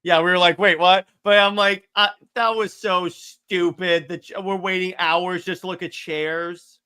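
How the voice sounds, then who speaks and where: A man with a fairly high, bright voice chats casually as a podcast co-host. He takes viewer questions and gives his opinions with enthusiasm. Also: level tone, a little slow, clean tone. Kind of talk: casual fan chat, reading and answering viewer questions.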